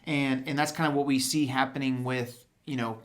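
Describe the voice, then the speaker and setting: A young man chatting casually about a TV episode, excitable and slangy with lots of fillers. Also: mid-range voice, normal speed.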